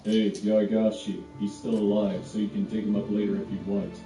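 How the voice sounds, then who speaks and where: A man voicing a cool young male fighter in a storybook, with a flat, offhand, dry manner. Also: fairly low pitch, moderate pace, recorded from a distance, voice pushed down, natural voice. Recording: roomy echo, music behind.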